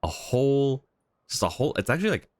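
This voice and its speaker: A man's voice: mid-range voice, big pitch swings, fast. A man giving casual livestream game commentary and chatting with viewers.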